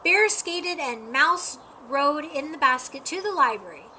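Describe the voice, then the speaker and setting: High, bright woman reading a storybook. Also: lively intonation, measured pace, a little hiss or hum. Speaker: storybook reader. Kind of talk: expressive children's story narration.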